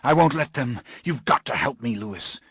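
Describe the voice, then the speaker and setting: A man voicing a desperate, drunken gentleman, pleading and bursting out in frantic, high-pitched cries. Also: lively intonation, moderate pace, husky, no echo, studio quality. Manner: pleading, rising to frantic outbursts.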